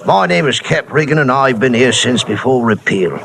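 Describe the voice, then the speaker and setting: An actor playing a garrulous, hard-drinking old waterfront sailor who talks in folksy nautical slang, in a 1950s radio drama. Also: male voice, medium pitch, lively intonation, moderate pace, creaky. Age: older. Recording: hissy old radio broadcast.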